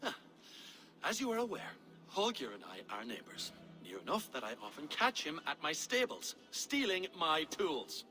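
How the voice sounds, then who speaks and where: A fussy, wronged stableman, high and very expressive in pitch. He pleads his case formally and plaintively like a game character, his voice ringing in a reverberant hall. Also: normal speed, faint music behind, full character voice. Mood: wounded, formal. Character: aggrieved, fussy, courteous male horse keeper. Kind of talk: dramatic video game acting.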